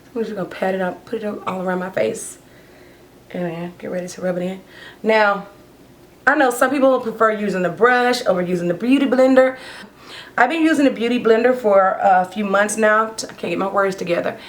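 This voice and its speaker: A woman's voice: medium pitch, brisk. A woman who has partly lost her voice, chatting casually as she does her makeup for a get-ready-with-me vlog. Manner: chatty, rambling, talks while doing makeup. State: hoarse, losing her voice. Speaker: beauty vlogger.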